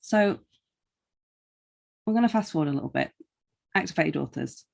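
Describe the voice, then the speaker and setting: A woman's voice: lowish voice, lively intonation, moderate pace, gravelly, a little mellow. A woman interviewing a podcast guest in a casual, conversational style with an informal, teasing manner.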